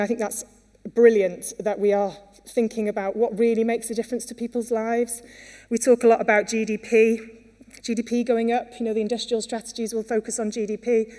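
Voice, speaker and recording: A woman's voice: a little high, darkish tone. Welsh woman speaking in an echoing hall. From Wales. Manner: earnest, many fillers and restarts. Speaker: conference speaker. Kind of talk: conference talk. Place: conference hall. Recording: long hall reverb.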